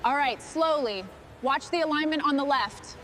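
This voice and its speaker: A woman's voice: high voice, normal speed, a bit bright, a little hiss or hum. A woman playing an exacting crew boss in scripted workshop dialogue. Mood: careful, instructing. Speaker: restoration crew leader.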